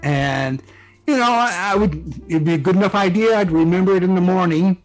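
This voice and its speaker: Higher-pitched man, casual guest author. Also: fairly quick, rough recording. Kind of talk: casual interview talk. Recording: music playing behind the voice. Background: background music.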